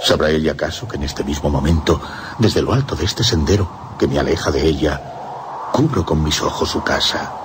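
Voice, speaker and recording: A man's voice: extremely low pitch, normal speed, noisy background. An actor playing a lovesick, troubled man in a radio drama, theatrical and fervent, with a Castilian Spanish accent. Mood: yearning.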